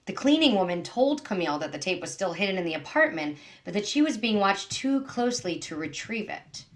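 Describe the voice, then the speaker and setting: An actress in an audio drama playing a businesslike prosecutor, explaining things in a matter-of-fact manner. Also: female voice, lowish voice, lightly acted. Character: businesslike female prosecutor planning an interrogation.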